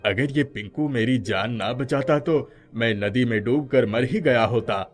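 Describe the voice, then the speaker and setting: Older village man acted by a man: lowered voice, gentle, grateful, sincere, animated moral tale. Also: measured pace, lightly acted. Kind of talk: animated moral story character dialogue.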